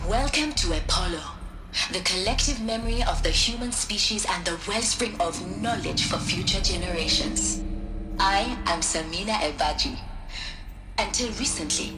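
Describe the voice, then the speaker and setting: A woman voicing a dignified, earnest scholar in a video game, giving a measured, solemn formal speech. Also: mid-range voice, animated, natural voice. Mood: solemn, resolute. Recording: echoing room, music underneath.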